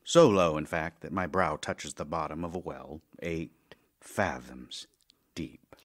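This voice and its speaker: An actor playing a middle-aged Russian playwright in an audio drama, wry and lovesick, with theatrical, deliberate pauses. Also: male voice, very deep voice, heavily acted. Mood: wry, tender. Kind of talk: theatrical audio drama, reading love letters.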